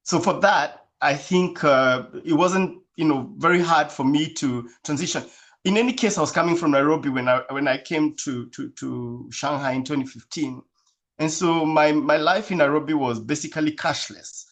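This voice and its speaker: A man from Kenya who is a guest in an interview and answers casually in a measured, thoughtful way, with many fillers. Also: fairly high pitch, a bit bright.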